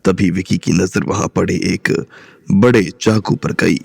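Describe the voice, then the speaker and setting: A man narrating an audio drama with steady storytelling. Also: deep voice, a little slow, gravelly, slightly rough, no echo. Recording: soft music bed underneath.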